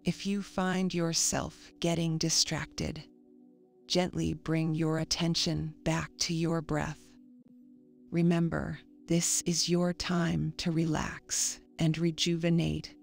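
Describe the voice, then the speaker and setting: Slow, low-pitched woman guiding meditation. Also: vocal fry. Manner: calm, soothing, measured. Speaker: meditation guide. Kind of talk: scripted guided meditation narration. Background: faint music.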